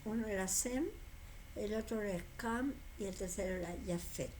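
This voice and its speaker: An older woman, a guest explaining tradition in a conversation, unhurried and telling it like a story, pausing to correct herself. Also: medium pitch, darkish tone, slight background noise.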